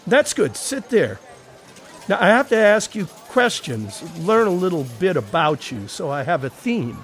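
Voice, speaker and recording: A man's voice: high voice, sing-song, unhurried, fairly bright tone, exaggerated voice. A man acting in an audio drama as a breezy, broke beach sketch artist with wry, casual banter. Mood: breezy salesman patter. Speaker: actor.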